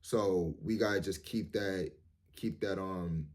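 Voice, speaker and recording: A man in his thirties with a low, deep voice talks straight to his viewers. He rambles and restarts, repeats words, and fills his pauses with "um" and "you know". His tone stays earnest and motivational. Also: darkish tone. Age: 30s. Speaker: vlogger. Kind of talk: casual monologue to camera.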